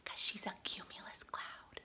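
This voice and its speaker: A woman reading a picture book aloud to young children in an expressive way, adding chatty explanations and questions for her listeners. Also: unhurried, in a whisper. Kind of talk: animated read-aloud with chatty asides and questions.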